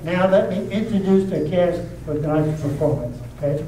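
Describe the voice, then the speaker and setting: A man's voice: on the high side, normal speed. Chatty man hosting to a live crowd. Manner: chatty, checks in with frequent "okay?". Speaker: host introducing a readers theater show. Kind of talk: casual talk to a live audience. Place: community hall stage with a live audience. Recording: echoey room, distant mic, noisy.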